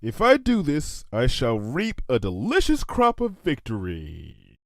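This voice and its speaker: A man's voice: medium pitch, huge pitch swings, measured pace, creaky, a bit bright, no room sound, clean studio sound, full character voice. A man voicing a scheming, self-assured female unicorn pony in a storybook reading, musing in a theatrical, declamatory way. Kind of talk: fan fiction storybook character voice.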